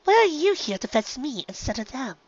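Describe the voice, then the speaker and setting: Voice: high-pitched, big pitch swings, a little fast, no echo, highs cut off, pitched above the performer's own voice. A narrator voicing a refined young lady unicorn from a storybook, a polite, anxious elder sister who speaks delicately and tactfully. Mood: gentle, polite. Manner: delicate, tactful, careful phrasing.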